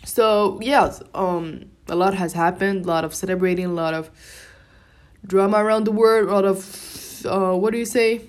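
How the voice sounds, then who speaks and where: A woman's voice: mid-range voice, moderate pace. A young woman from Morocco, a native Darija speaker, hosting a casual solo podcast in an informal, rambling way and often interrupting herself. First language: Moroccan Arabic (Darija). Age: young adult. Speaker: podcast host.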